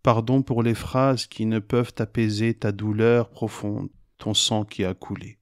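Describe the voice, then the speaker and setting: A man recites poetry at a very slow pace in a fairly low voice. His delivery is grave and measured, with pauses that set each short phrase apart. The sound is very dry, with next to no room in it. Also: level tone, no room sound. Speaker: poet reciting own poem.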